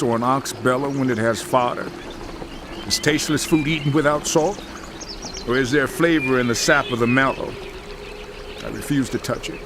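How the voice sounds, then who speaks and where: A man's voice: fairly high pitch, background noise. An actor playing an anguished, suffering biblical man who laments and protests with passion in a dramatized scripture reading. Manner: impassioned, lamenting.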